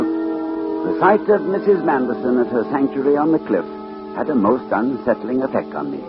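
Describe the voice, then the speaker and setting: A man acting a troubled gentleman detective of old radio drama, with widely moving intonation. He speaks formally and with hesitation, on a dull vintage recording with music under it. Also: medium pitch, natural voice. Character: troubled gentleman detective narrating his case. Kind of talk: 1940s-50s radio acting, first-person narration.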